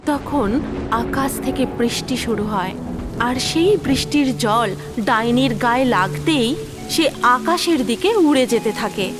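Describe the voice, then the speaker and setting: A woman narrates a children's cartoon tale in a high, animated voice. Her delivery is expressive and scripted, with music clearly underneath. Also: takes it slowly. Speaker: storyteller, narrator.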